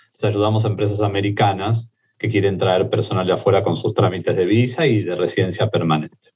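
Argentine man calmly explaining, low voice. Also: husky, dull audio. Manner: measured, methodical, frequent fillers and restarts. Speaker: immigration lawyer guest. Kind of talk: explanatory interview talk.

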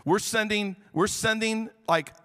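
A man's voice: high voice, a little slow, a bit bright, clean tone, long reverb tail. A man speaking as pastor from the pulpit, enthusiastic and rallying the congregation, in a large church auditorium.

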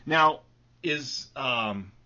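Male podcast host, unhurried, forceful bright tone, slightly lo-fi recording. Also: mid-range voice. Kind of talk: casual chat.

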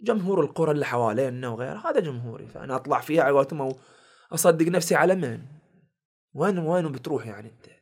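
A young man with a village Bahraini accent tells stories from his life in a relaxed podcast chat. He talks quickly in a slightly raised voice and acts out the lines of the people he meets. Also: on the high side, vocal fry, breathy edge. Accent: Bahraini village dialect. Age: young adult. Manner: animated storyteller acting out quoted exchanges. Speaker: guest, content creator and comedian. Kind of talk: casual podcast storytelling.

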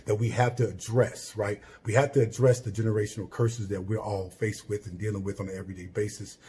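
A loud-voiced man hosting his own podcast, speaking in a long earnest monologue. His voice sits low and sounds warm and mellow. He presses his points with emphasis and often circles back to restart a phrase. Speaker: podcast host.

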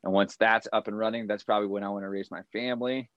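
A man's voice: fairly low pitch, fairly even intonation, fast talker, dry recording, muffled recording. An American man of thirty chatting casually and candidly in a laid-back way, as a guest on a podcast. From Colorado, United States. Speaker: yoga teacher, podcast guest. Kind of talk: casual conversation.

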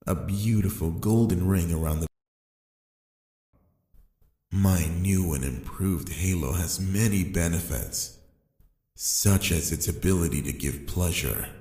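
Long, echoing reverb surrounds a deep male voice. It is a tempting fallen angel played by a man in an ASMR roleplay, calm and sly. He speaks slowly and intimately, as if to one listener. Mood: seductive, coaxing. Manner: slow, deliberate, addressing the listener intimately.

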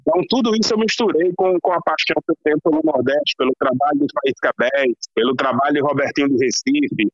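A man from Rio Grande do Norte with a Northeastern Brazilian potiguar accent, giving long, passionate answers as a guest bass player. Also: fast, few pauses, no echo, dull audio. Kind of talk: interview answers.

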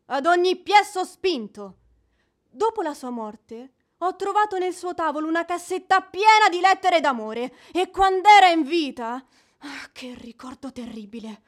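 An actress playing a proud, passionate young widow in a radio drama, melodramatic and haughty. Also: female voice, high-pitched. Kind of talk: theatrical Italian radio drama acting.

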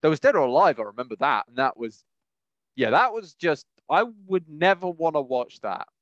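A man with a slightly high voice chats casually and with strong opinions. He rambles and repeats phrases for emphasis. The close, dry recording sounds a little dull and muffled. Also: lively intonation, normal speed, fairly bright tone, no echo. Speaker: podcast host. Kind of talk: casual opinionated chat about films.

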